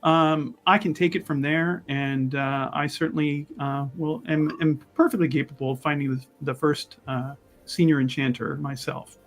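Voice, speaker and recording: A man playing a pompous, condescending adventurer mage in a tabletop game, wordy and often halting mid-sentence. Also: on the high side, steady tone, a little hiss or hum, lightly acted.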